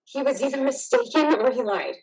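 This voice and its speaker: Casting: actress for a soldier's devoted wife, higher-pitched, husky, weary and tender with an exasperated edge, stage drama. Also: female voice, expressive, a little fast, a little mellow, heavily acted. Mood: grave, reluctant. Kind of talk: stage-style dramatic acting.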